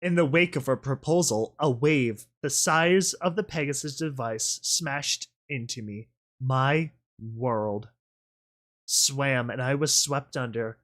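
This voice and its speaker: A man reading a first-person story aloud as a dramatic narrator, brooding and deliberate. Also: mid-range voice, fairly bright tone.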